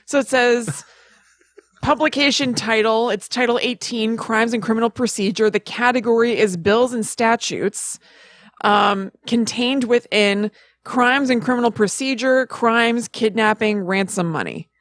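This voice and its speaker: A woman's voice: fairly high pitch, measured pace, dry recording. A woman co-hosting a podcast in a casual, conversational way. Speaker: podcast co-host.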